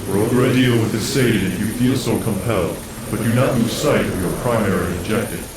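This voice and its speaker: A solemn spirit guide, voiced by a man for a video game. His low voice commands with grave formality. It echoes heavily and sounds distant, with clear noise around it. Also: a little fast, close to an everyday voice. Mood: imperious. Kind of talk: video game cutscene acting.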